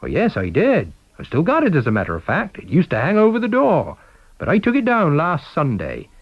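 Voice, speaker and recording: A man's voice: a little high, huge pitch swings, a little fast, breathy edge, dry recording, highs cut off, voice pushed up, exaggerated voice. A man voicing an obliging, chatty garage proprietor, matter-of-fact, clipped and sure of himself.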